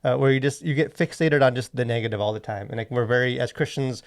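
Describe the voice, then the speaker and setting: A man with a noticeably creaky, mid-pitched voice co-hosts a casual discussion. He rambles and halts, circling his point with plenty of "like" and "you know.". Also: fairly even intonation, fast talker. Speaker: podcast co-host. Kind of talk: casual discussion of faith and culture.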